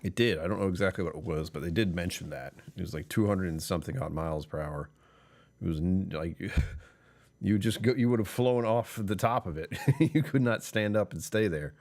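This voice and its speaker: Tired male streamer, very low voice, chuckling, rambling casual chat. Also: a little fast, darkish tone. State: tired, voice lower than usual. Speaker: livestream host.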